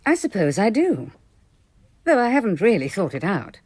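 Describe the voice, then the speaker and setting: Genteel English hostess, composed, evasive, deliberate, expressive wide-ranging melody, dry studio, radio drama. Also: female voice, mid-range voice, husky, no echo. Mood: suggestive, guarded. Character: composed, well-bred married hostess, subtly evasive.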